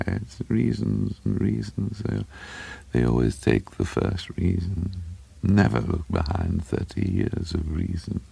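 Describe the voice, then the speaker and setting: A man voicing a small, conceited, furtive bearded madman in an audiobook story, stammering and muttering in low, breathless tones with a bubbling impediment. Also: vocal fry, dark tone, breathy edge, exaggerated voice. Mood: muttering, aggrieved. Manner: stammering, muttering to himself, rambling, sly tittering.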